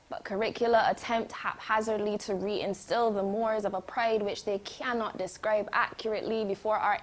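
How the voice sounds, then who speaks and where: Barbadian woman performing dramatic spoken word. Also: medium pitch. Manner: rhythmic, dramatic, swings from loud to hushed.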